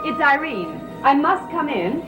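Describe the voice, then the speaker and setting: An actress playing a sly, mocking society gossip in a gothic soap opera, arch, needling and condescending. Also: female voice, high-pitched, very animated, a little slow. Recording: music behind the dialogue.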